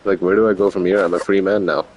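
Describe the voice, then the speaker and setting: A man's voice: sits low, steady tone, fast talker, darkish tone, a little hiss or hum. A young man streaming a game, chatting casually with slangy, joking commentary.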